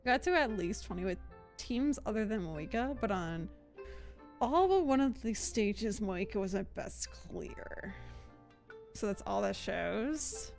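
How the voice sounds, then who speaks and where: A woman's voice: medium pitch, expressive, a little slow, creaky, a little mellow. A woman live streamer chatting casually over a game, giggly and rambling. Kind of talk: casual gaming stream chat. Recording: background game music.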